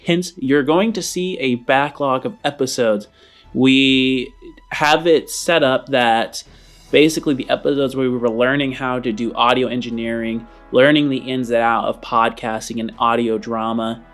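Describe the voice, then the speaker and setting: A man's voice: medium pitch, normal speed, a bit bright. A man hosting a tabletop role-playing podcast, welcoming listeners in an earnest, rambling way, with faint music behind him. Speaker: game master and podcast host. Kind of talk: casual podcast intro, then dramatic narration.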